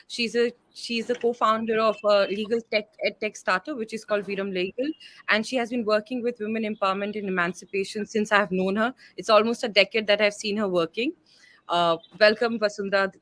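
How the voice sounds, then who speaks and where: A woman's voice: mid-range voice, fairly even intonation, normal speed, a bit bright. A woman moderating an online panel discussion, speaking conversationally with frequent "uh" fillers over a video call.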